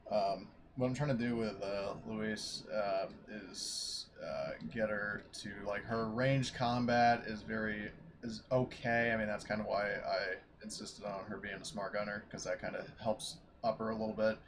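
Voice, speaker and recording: Low-voiced man, noisy recording. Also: steady tone, a little slow. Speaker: tabletop roleplaying game master. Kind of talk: casual post-game chat.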